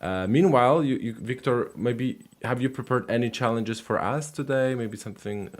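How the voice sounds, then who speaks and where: A man's voice: medium pitch, moderate pace. A man hosting a live language call-in stream, chatting casually with callers with lots of fillers and repeats. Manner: relaxed, many fillers and repeated words.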